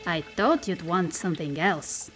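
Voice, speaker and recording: A woman's voice: fairly low pitch, unhurried, over music, natural voice. An actress playing a bold, teasing, dangerous female forest spirit in an audio drama. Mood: taunting.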